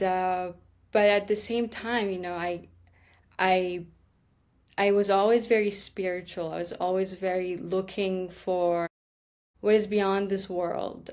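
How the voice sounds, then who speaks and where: A woman's voice: lowish voice, a little mellow. A woman from Iran telling her life story as a podcast guest, hesitant and full of restarts. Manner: slow, hesitant, many ums and restarts.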